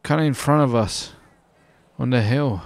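A man with a gravelly voice chatting casually and slangily while playing a roleplay game. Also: mid-range voice, normal speed, no room sound. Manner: slangy, says dude and baby often. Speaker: gaming video host, roleplay player. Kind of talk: casual gameplay roleplay chat. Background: car game sounds.

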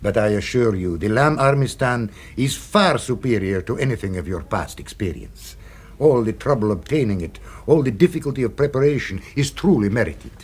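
Husky, unctuous restaurateur. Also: male voice, medium pitch, lively intonation, moderate pace. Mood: obsequious, proud. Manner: effusively courteous, ending remarks with "eh?". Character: unctuous, secretive male restaurant proprietor, darkly philosophical. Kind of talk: 1970s radio drama acting.

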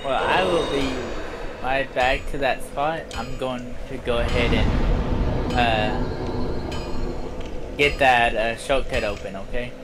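A man's voice: mid-range voice, fairly even intonation, takes it slowly, long pauses, over loud music. A man chatting casually and informally as a co-op partner in a video game. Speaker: gamer, co-op partner. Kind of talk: casual gaming chat. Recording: clearly noisy home game recording.

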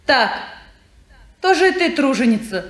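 An actress playing an ironic, teasing young daughter with a sharp tongue, in a 1950s radio drama. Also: female voice, high-pitched, sing-song, a little slow, heavily acted. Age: young adult. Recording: echoey old radio studio recording.

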